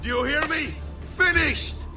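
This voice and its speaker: High, ranting villain. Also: male voice, unhurried, over music. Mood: gloating fury. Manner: theatrical, sneering, menacing. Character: arrogant, bloodthirsty Templar villain. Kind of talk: video game cutscene acting.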